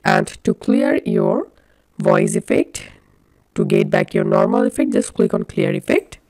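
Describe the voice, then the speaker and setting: A woman presents a software tutorial step by step. She speaks slowly and deliberately, giving plain instructions. The sound is close and dry. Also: medium pitch, lively intonation, dry recording.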